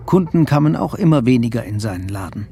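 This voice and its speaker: An actor narrating a crime story in a literary radio play. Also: male voice, medium pitch, animated, fast, creaky, mellow, faint music behind. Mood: matter-of-fact. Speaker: second narrator.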